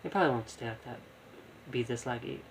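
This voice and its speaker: A man giving casual, halting live commentary as he streams a video game, often repeating his words. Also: medium pitch, a little hiss or hum, low-quality recording.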